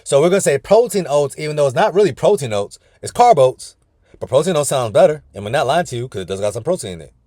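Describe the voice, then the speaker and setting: A man's voice: a little high, big pitch swings, fast talker, mellow, dry recording, studio quality. Casual man coaching a group call. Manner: casual, folksy, often addresses the group as "y'all". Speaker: weight-loss coach. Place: inside his parked car.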